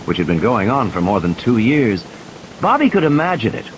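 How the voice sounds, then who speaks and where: Expressive male audiobook narrator with animated pitch. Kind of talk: dramatic scripted narration. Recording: hissy, noisy background.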